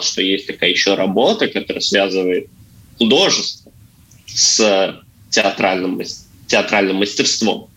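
A young man answering interview questions conversationally, often halting as he searches for words. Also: on the low side, pushed and bright, low-quality recording. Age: young adult. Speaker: guest, young theatre artist.

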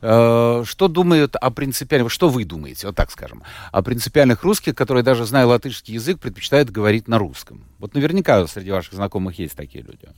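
A man's voice: mid-range voice, big pitch swings, fast, few pauses, dry recording. A male radio host interviewing a guest, reading out a listener's message and pressing with follow-up questions. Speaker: radio talk show host.

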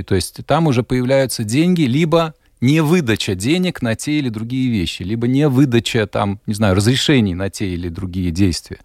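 A man from Germany, a producer guest on a talk show, speaking in a measured, formal way with frequent hesitations. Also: medium pitch, darkish tone, no echo, clean studio sound. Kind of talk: radio talk show discussion.

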